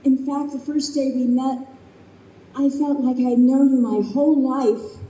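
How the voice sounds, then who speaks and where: A woman's voice: sits high, fairly even intonation, measured pace, warm tone. A woman playing a sad, bewildered reincarnated soul in an old-time radio play, recorded live in a roomy space. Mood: hesitant, tender. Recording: roomy live stage recording, distant, faint noise.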